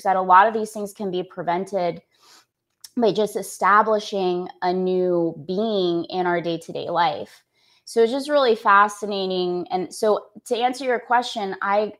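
A woman in her thirties from Canada, an executive coach speaking earnestly as a podcast guest, with lots of "like" fillers. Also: medium pitch. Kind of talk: podcast interview.

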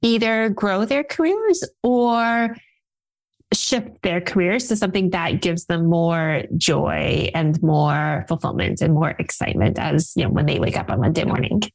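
A woman whose first language is Russian, an executive coach talking as a guest in an energetic, chatty way, laughing often and using many fillers. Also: on the low side, unhurried, creaky. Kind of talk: casual interview talk.

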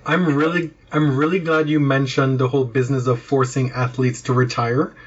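A man's voice: mid-range voice, level tone, normal speed, slightly rough, muffled recording. A man hosting a podcast, chatting casually about sport in an informal, opinionated way. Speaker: podcast host.

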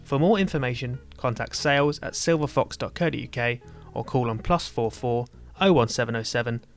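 A man reading a scripted advert in a smooth, promotional voiceover. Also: medium pitch, brisk, faint music behind. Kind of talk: scripted ad read.

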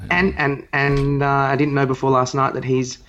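An Australian man co-hosting a podcast in casual banter, offhand and quick to interject, over a lo-fi remote connection. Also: mid-range voice, flat delivery, a bit bright. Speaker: podcast co-host.

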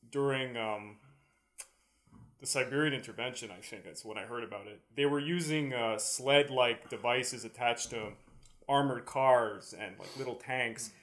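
A Canadian man with an average-pitched voice talks on a podcast. He explains things conversationally, laying out examples one piece at a time. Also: moderate pace, fairly bright tone. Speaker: podcast co-host. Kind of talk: casual explanatory chat.

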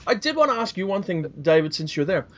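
Higher-voiced male host on a dull call. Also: big pitch swings, fast talker, a bit bright. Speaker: podcast host. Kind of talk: casual interviewing. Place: internet call. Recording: dull internet call sound.

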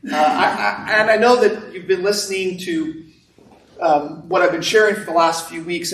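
A man preaching a sermon to his congregation without a microphone, talking in a loud, conversational way with asides to the people. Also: high-pitched, slight background noise. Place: church sanctuary. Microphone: no microphone, recorded from a distance. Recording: roomy, distant church recording.

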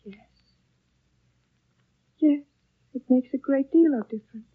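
Gentle, guarded young woman, lightly high, careful phrasing, vintage 1930s radio drama with narrow sound. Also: a little slow. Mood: wistful. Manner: hesitant, formal, careful phrasing. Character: reserved, lonely, gentle young woman of a secluded community. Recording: thin, narrow-band old radio broadcast.

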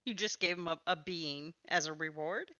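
A woman's voice: deep voice, normal speed, sharp tone, no echo, dull audio. A woman hosting a casual, chatty podcast about tabletop gaming, with a playful way of talking. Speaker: podcast host and interviewer.

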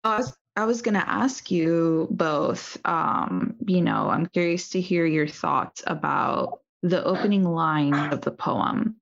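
Woman asking thoughtful questions on a podcast, lowish gravelly voice, muffled audio. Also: lively intonation, slow, few pauses. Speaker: podcast co-host. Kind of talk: casual chat. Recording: dull lo-fi audio.

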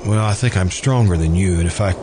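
An actor playing a mild, courteous, lovelorn murderer in an American radio drama, speaking haltingly and politely. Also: male voice, deep voice, lightly acted. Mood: polite, calm. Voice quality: hesitant, halting delivery. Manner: polite, deferential, quietly stubborn. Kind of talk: old-time radio drama acting. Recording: amateur radio recording, clearly noisy background.

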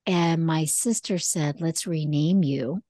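A woman's voice: low-pitched, takes it slowly, mellow, no echo, clean studio sound. A woman hosting a podcast, chatting in a casual interview and laughing easily.

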